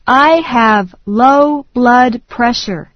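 Slow, deliberate woman reading English. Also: fairly high pitch, no room sound. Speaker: English phrase reader. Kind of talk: slow repeat-after-me English drill. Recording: dry, dull lo-fi studio read.

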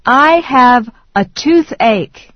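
A woman slowly reading English phrases one at a time in a language drill, with deliberate enunciation. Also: on the high side, expressive, no echo. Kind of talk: slow repeat-after-me English drill. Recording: dry, dull lo-fi studio read.

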